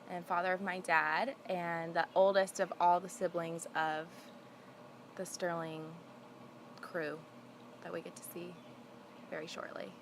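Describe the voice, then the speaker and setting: A young woman in her twenties interviews her father in a playful, upbeat way. Her voice sits a little low for a woman. She is recorded in a backyard, with faint outdoor noise behind her. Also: fairly bright tone. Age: 20s. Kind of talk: casual family interview.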